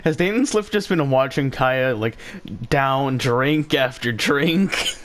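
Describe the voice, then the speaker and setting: Male game streamer: mid-pitched, casual, exasperated reactions, blunt and sweary. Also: takes it slowly, a bit bright, slight background noise. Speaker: gaming streamer reacting to story. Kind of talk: casual game playthrough commentary.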